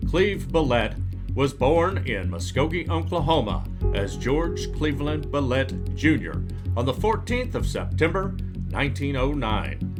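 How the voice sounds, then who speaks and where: A man reading a scripted documentary narration in a measured, factual storytelling manner. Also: mid-range voice, big pitch swings. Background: music.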